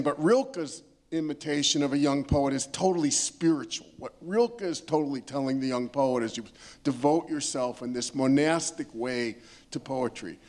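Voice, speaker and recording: A man's voice: fairly high pitch, darkish tone. A man speaking as a panelist, explaining poetry in an emphatic way that builds points through repeated phrases. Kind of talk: literary commentary at a panel discussion.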